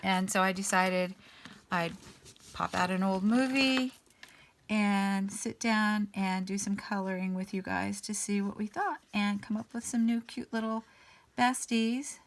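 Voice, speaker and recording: A woman hosting a casual coloring session, a little raspy as she gets over an illness, thinking aloud in an unhurried way with many ums. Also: mid-range voice, fairly even intonation. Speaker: artist and channel host. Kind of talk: casual color-along chat.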